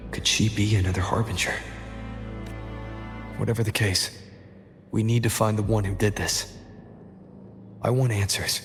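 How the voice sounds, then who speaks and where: A man voicing a serious, resolute young adventurer in a video game, pensive and earnest. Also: fairly low pitch. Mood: grave, resolute. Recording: music behind, long hall reverb.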